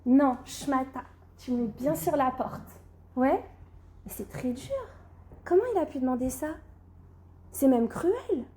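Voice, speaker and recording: A woman's voice: high voice, expressive, slow pace, pauses often, warm tone. A woman teaching a religious class who has a cold, putting questions to her students and checking "d'accord?" as she goes. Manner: questions the class, then answers, often saying "d'accord?". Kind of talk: recorded Torah class.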